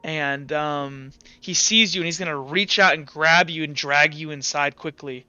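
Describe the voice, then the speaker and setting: A man narrating a tabletop roleplaying game as game master, informal and halting, pausing to think. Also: on the high side, fairly even intonation, pushed and bright, clean tone, no room sound. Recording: slightly dull audio with faint music. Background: faint music.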